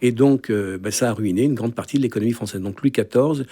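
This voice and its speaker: A man's voice: medium pitch, fast talker, warm tone. A man co-presenting on a radio show, talking casually and drifting into asides while he hunts aloud for the right word. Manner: digressive, searching for words aloud.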